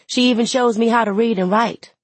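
An actress voices a wry, resentful young woman telling her own story in an audiobook. She speaks plainly in conversational dialect, with a husky voice in a dry, close recording. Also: a little high, steady tone, brisk, dry recording. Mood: wry, aggrieved. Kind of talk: first-person audiobook narration in character.

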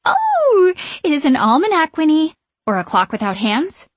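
High, airy, giddy witch. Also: huge pitch swings, moderate pace, no echo. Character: childlike, airy, giddy, curious witch woman. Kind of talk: audiobook character acting.

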